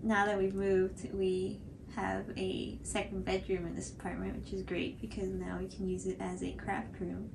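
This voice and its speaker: A woman's voice: mid-range voice, monotone, moderate pace, gravelly, few pauses, noisy background. A woman in her twenties with an American accent, co-hosting a craft tutorial in a casual, chatty style. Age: 20s. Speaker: craft video co-host. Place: small indoor room at home, on a couch.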